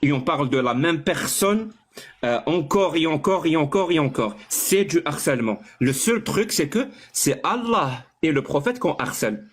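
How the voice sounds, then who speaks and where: Insistent man, a touch high-pitched. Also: expressive, normal speed, breathy edge. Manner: insistent, repeats and stumbles over words. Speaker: debate participant. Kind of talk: heated online debate.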